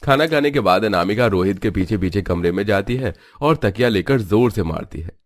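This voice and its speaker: A man's voice: lowish voice, animated, fairly quick. A man narrating a Hindi family cartoon story in a dramatic storytelling style.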